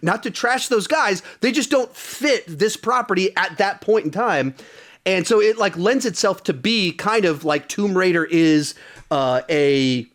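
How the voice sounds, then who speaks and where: A man with a fairly high voice hosts a film podcast. He talks in a casual, conversational way, as if discussing things with friends. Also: lively intonation, measured pace, a bit bright. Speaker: podcast host.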